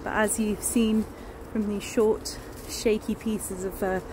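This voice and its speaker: A woman's voice: medium pitch, level tone, takes it slowly. A woman in her thirties chatting enthusiastically while filming a walk outdoors, talking into a phone held at arm's length. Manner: enthusiastic, chatty, many fillers. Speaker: nature vlogger. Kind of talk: casual walking vlog. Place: outdoors in woodland and on a beach. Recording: outdoor phone recording with noise. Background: distant planes and outdoor ambience.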